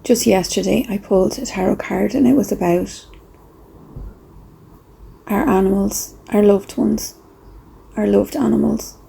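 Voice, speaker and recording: Female meditation guide: unhurried, husky and breathy, soft reflective delivery with pauses. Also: mid-range voice, fairly even intonation, poor audio.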